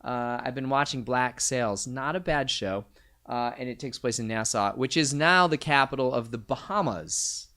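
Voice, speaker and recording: A man's voice: mid-range voice, normal speed, pushed and bright. A man hosting a live quiz night, reading out questions and answers with joking asides. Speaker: trivia quiz host.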